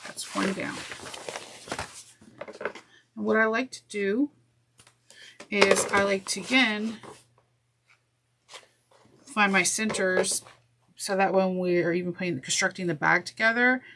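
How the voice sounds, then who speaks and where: A woman's voice: medium pitch, fairly even intonation, slow, long pauses. A congested woman with a nasal voice teaching a sewing project step by step, chatty and correcting herself as she goes, with apologies along the way. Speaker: sewing instructor. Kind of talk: step-by-step craft tutorial. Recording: noisy with clicks.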